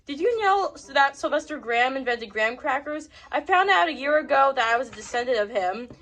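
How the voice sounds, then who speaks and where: A woman's voice: sits high, animated, a little fast, fairly bright tone, pitched below the performer's own voice. A woman voicing a nosy, rambling schoolgirl who quizzes people in a children's story. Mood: curious, teasing. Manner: inquisitive, rambling. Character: nosy, chatty schoolgirl classmate questioning her friend.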